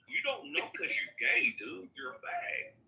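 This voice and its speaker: Give me an insistent man with a lively, slightly high voice who keeps repeating himself. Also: measured pace, gravelly, roomy sound. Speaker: chat room participant. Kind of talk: heated online voice chat.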